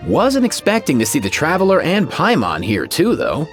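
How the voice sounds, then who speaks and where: Highly animated, scatterbrained young man. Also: faint music behind. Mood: surprised, teasing. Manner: casual, expressive, halting. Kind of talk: video game voice acting.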